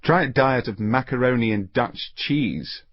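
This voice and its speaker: A man voicing an unflappable, eccentric English gentleman detective in an audiobook story, precise, placid and quietly amused, in slightly muffled audio. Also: medium pitch, unhurried, no room sound, voice pushed up, lightly acted. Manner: precise, placid, matter-of-fact.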